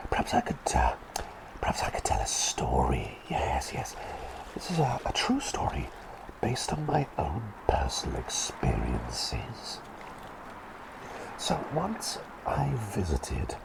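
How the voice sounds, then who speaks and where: A man voicing a kindly, silly talking bird doctor in a whispered ASMR roleplay, speaking hesitantly and politely and softly repeating his phrases. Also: heavily acted, rough recording. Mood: eager, gently awkward. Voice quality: breathy whisper with creaky low voiced moments.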